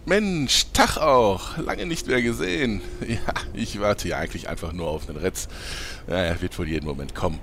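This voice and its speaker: A man's voice: deep voice, expressive, normal speed, hardly any pauses, a bit bright, slight background noise. A man playing a naive, goofy adventurer in a fantasy comedy audio drama, silly and rambling. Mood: sheepish.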